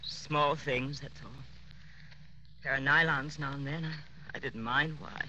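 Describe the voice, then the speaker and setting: An actress playing a distraught, fatalistic singer in a 1940s radio drama, hesitant and melodramatic. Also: female voice, sits low, steady tone, a little slow, sharp tone. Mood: bitter, despairing. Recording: noisy old-time radio recording.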